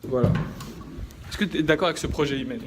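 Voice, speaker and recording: A man's voice: a little high, fast talker, a crowd in the background. A young man vlogging in a playful, bossy way, switching between French and English and laughing often. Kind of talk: casual vlog chat.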